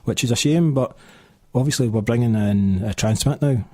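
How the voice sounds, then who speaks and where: A man with a Scottish accent hosting a radio show, chatting casually and quickly with lots of fillers in a studio. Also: medium pitch, dark tone, no room sound. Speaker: radio host.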